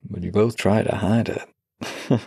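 A man with a low, gravelly, husky voice talks slowly and tenderly into a close microphone. His tone is teasing and affectionate. The sound is dry and intimate, with no room around it. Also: steady tone, dark tone, no room sound. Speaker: voice actor. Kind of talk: intimate audio roleplay.

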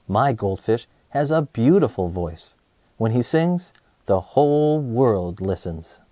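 A man in his thirties presenting and reading a children's storybook aloud in an expressive way, speaking to young listeners. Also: medium pitch, takes it slowly, no echo. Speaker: host of a children's read-aloud show.

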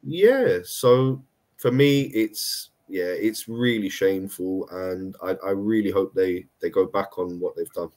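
Croaky man with a cold. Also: low voice, a little slow, a little mellow. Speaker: guest panelist. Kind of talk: casual chat on video call.

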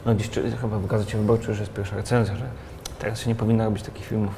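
Quick-talking host guy, 30s, lowish voice, keeps saying mhm, bit of hiss. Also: level tone. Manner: quick, eager, lots of back-channel "mhm". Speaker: interviewer, host. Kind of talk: conversational interview questions. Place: studio set in a large glass-walled building.

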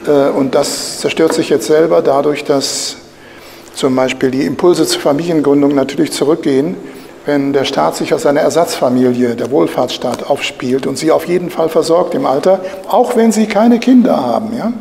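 A man's voice: fairly high pitch, moderate pace, vocal fry, a little mellow. Older man lecturing in a reverberant room. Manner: opinionated, polemical, with frequent filler "äh" and "ja?". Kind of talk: lecture from a lectern. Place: bright lecture room, indoors. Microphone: studio mic on a stand at a lectern. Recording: reverberant room, light hiss.

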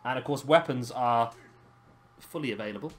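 A hoarse man, hosting and casually commentating a wrestling video game. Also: mid-range voice, normal speed. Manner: chatty, laughing, swears casually. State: hoarse, lost his voice. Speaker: host of a gaming channel.

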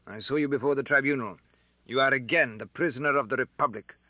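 A man doing a rough, commanding soldier. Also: medium pitch, quick pace, pushed and bright, no echo. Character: rough, loud revolutionary soldier.

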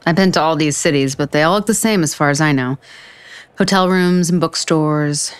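An actress playing a wry, foul-mouthed author on a book tour, dry and conversational in a naturalistic audio drama. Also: female voice, sits low, normal speed, clean tone, lightly acted. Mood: wry, casual.

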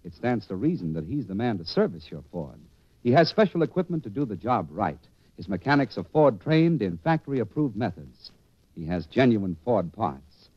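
An American man announcing a 1940s radio show and reading its sponsor pitch. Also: sing-song, moderate pace, husky, dry recording. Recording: dull 1940s radio recording.

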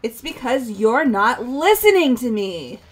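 A woman's voice: fairly high pitch, big pitch swings, a little slow, faint music behind. A woman voicing a loyal, emotional teenage girl in a visual novel game, pleading and stammering. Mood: defensive, pleading.

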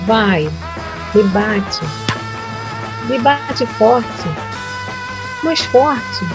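A woman plays a seductive, scheming devotee in an audio drama. She talks very slowly and teasingly, with music clearly playing underneath. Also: on the high side, low-quality recording. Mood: seductive, masochistic.

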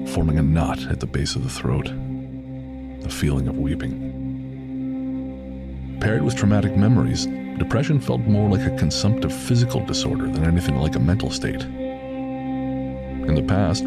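A man narrating a scripted horror audio drama in a sombre, measured, literary manner. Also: a bass voice, gravelly, heavily acted.

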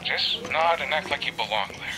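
A man playing a gruff older mentor who talks in a dry, terse way in a fan audio drama. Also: mid-range voice, expressive, normal speed, heavy background noise, lightly acted.

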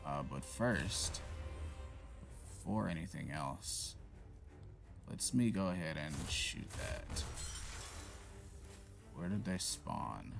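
A man with a very low, gravelly voice narrates his run in a casual, offhand way. His pitch swings widely as he mutters to himself and then snaps and swears at the enemies. Loud game music plays under his voice. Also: animated, takes it slowly, pauses often. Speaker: gaming channel host. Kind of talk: casual gameplay commentary.

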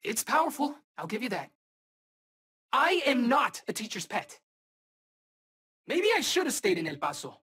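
An actor playing a cocky, eager young rookie superhero in a video game, bantering in casual, wisecracking slang. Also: male voice, extremely high pitch, very animated, normal speed, pauses often, bright, forceful tone, slightly rough, full character voice. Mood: confident, taunting. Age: young adult.

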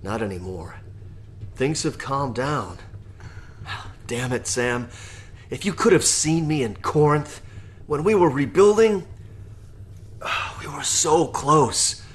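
An actor playing a weary, guarded leader in a post-apocalyptic audio drama, terse and brooding. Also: male voice, medium pitch, expressive, takes it slowly, long pauses. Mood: weary, wistful.

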